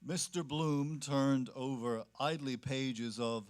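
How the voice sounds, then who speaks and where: A man's voice: mid-range voice, slow. A man giving scripted literary narration in a dramatic reading.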